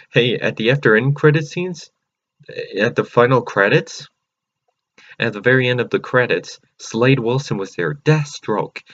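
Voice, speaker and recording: A man hosting his own channel, chatting excitedly and rambling through a casual movie review with many fillers and restarts. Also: mid-range voice, lo-fi sound. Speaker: channel host.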